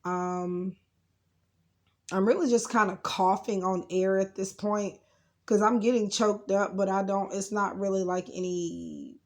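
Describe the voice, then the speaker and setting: A woman hosting a casual, unscripted tarot card reading in a rambling, informal way, her voice a little rough from being sick. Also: medium pitch, fairly even intonation, a little slow. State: getting over sickness, slightly rough voice. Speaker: tarot card reader and channel host.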